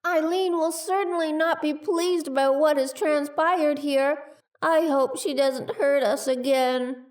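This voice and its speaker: A high, distant voice of an eerily polite female spirit played by a woman in an audio drama. She is composed and formal, soothing in a drawn-out way. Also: fairly even intonation, a little mellow. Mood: eerily calm.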